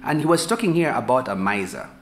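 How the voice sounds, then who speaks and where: A pastor in his forties with a Ugandan accent, giving a relaxed, talkative sermon to the camera from a sofa, gesturing as he repeats words while he thinks aloud. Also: medium pitch, fast, soft background music. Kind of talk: conversational teaching sermon to camera. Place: small room, seated on a sofa indoors. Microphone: camera at a few feet, medium shot. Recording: echoey room with faint hiss.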